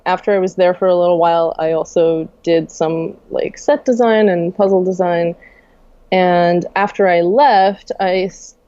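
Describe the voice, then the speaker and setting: A woman's voice: fairly low pitch, no echo, muffled recording. A young woman from Russia, a game designer, talking casually in a podcast interview with fillers and small laughs. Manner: relaxed, soft-spoken, many fillers and laughs.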